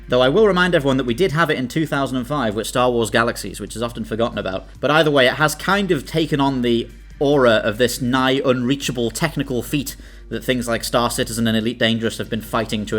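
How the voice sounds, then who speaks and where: A man's voice: mid-range voice, fairly quick, fairly bright tone. A British man hosting a video, delivering scripted essay-style narration. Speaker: video host. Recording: music under the voice. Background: background music.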